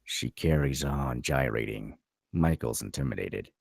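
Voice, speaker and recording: A very low male voice reads screenplay directions with a husky, crackling edge and animated swings in pitch. The sound is dry and close, as a narrator would give it at a table read. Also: unhurried, dry recording. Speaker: narrator reading stage directions.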